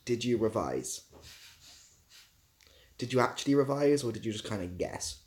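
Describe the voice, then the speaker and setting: A man's voice: mid-range voice, expressive, moderate pace, darkish tone. A British-accented man chatting casually as a livestream host, answering viewers in a dry, easygoing, self-deprecating way. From Britain.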